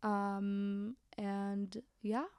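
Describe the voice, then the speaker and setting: Chatty American woman with a gravelly edge. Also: mid-range voice, flat delivery, very slow, darkish tone, no echo. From United States. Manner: chatty, upbeat, many likes and ums. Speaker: podcast guest, community founder. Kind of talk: casual interview chat.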